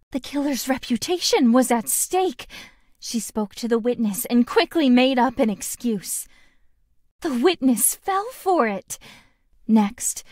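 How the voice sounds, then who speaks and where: A woman voicing a cheerful, friendly schoolgirl in a video game, speaking in a sweet, gentle and deliberate way. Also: sits high, no echo, clean studio sound. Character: cheerful, friendly anime schoolgirl narrating a play. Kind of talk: game tutorial voice acting, storytelling.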